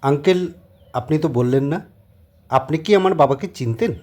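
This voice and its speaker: A man voicing a polite, puzzled young houseguest with a husky voice and a questioning way in an audio drama. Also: fairly high pitch, moderate pace, darkish tone, close to an everyday voice. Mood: insistent, questioning. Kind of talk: Bengali audio drama acting.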